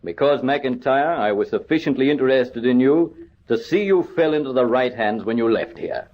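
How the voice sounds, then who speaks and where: An actor playing an earnest, well-meaning prison governor and colonel in an old radio drama, formal and deliberate. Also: male voice, medium pitch, slight background noise, lightly acted. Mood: measured, sincere. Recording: dull old radio recording.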